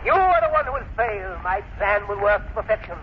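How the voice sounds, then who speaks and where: High-pitched gloating mad professor villain. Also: male voice, very animated, a little fast. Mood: defiant. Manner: sneering, theatrical, menacing. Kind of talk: old-time radio drama acting. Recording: muffled, noisy old radio broadcast recording.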